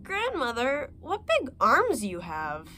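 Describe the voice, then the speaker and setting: An innocent little girl from a storybook chatters away in a lifted, eager voice, as a woman voices her. She sounds trusting and wide-eyed, stretching her words out slowly. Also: sits high, huge pitch swings, slight background noise, pitched above the performer's own voice, heavily acted. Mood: wondering. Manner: bright, naive and eager.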